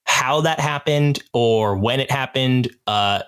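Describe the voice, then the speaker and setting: A man with a mid-range voice takes part in a relaxed podcast panel. He speaks in a casual, conversational style as one of the cast. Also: medium pitch, takes it slowly, clean tone. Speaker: podcast cast member discussing their show.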